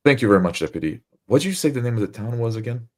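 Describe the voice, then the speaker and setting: A man playing a world-weary wounded adventurer in a tabletop game, speaking formally and deliberately. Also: medium pitch, lively intonation, a little mellow, voice pushed up, close to an everyday voice. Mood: grateful.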